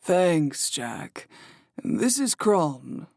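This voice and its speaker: A male audiobook narrator voicing a kind young woman innkeeper, speaking softly and gently. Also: on the high side, very animated, very slow, vocal fry, no echo, clean studio sound, pitched above the performer's own voice, exaggerated voice. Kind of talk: audiobook character voice.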